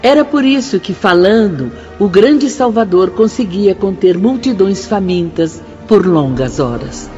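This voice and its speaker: Actress for devout Brazilian spirit sister, radio play. Solemn, fervent, declamatory; slightly muffled, clear musical backing. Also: female voice, mid-range voice, lively intonation, unhurried, few pauses, natural voice. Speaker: radio drama actor. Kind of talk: solemn radio drama narration. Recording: dull radio drama mix under loud music.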